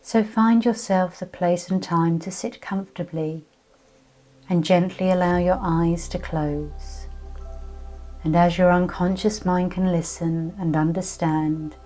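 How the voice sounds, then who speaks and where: A woman leading a guided meditation and visualization in a gentle, soothing, unhurried way, with many pauses. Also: lowish voice, fairly even intonation. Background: soft music with trickling, dripping water.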